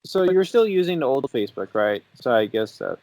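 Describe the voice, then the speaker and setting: Young man with lively, expressive pitch. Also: a little fast, no room sound. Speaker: podcast host. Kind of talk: casual chat.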